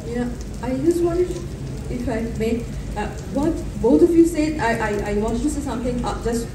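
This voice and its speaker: A woman from Bengal, a native Bengali speaker, talks spontaneously as a panelist, halting and repeating phrases. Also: a little high. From Bengal, India. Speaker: panelist, writer. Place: festival stage, before an audience. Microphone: handheld microphone through PA, filmed from audience. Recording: roomy, distant stage PA with loud ambient noise.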